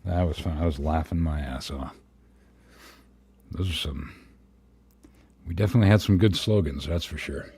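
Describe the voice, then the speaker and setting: A man with a very deep, husky voice chatting casually as co-host of a livestream. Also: normal speed, long pauses, warm tone, no echo. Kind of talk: casual chat.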